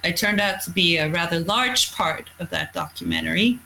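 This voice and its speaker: A woman's voice: fairly low pitch, huge pitch swings, moderate pace, pushed and bright, a little hiss or hum, low-quality recording. A Norwegian woman, a coastal fisherman, speaking English as a second language, telling stories candidly in a casual interview. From Norway. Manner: candid, storytelling, blunt with mild cursing.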